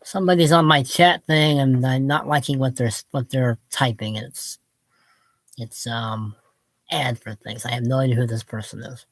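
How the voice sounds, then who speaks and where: A woman's voice: rumbling low, fairly even intonation, moderate pace, no echo. A woman in her late fifties giving a casual, opinionated book talk to viewers, drifting off on tangents in a self-deprecating way. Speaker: book vlogger.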